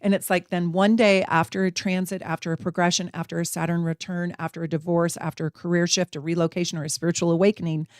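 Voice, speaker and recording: Brief: middle-aged American woman, astrology host, chatty and excitable, low-ish voice, dry recording. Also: fast talker, hardly any pauses, dry recording. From United States. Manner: enthusiastic, chatty, repeats phrases for emphasis. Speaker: astrology teacher and channel host. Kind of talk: casual astrology lesson to camera.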